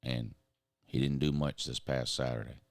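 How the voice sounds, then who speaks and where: A man with a drawl and a very deep voice, worn raspy and hoarse. He rants bluntly and swears freely as a show host. The sound is dry and close. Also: fairly even intonation, normal speed, no echo. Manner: blunt and profane, ranting. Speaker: show host reading chat. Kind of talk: casual sports rant.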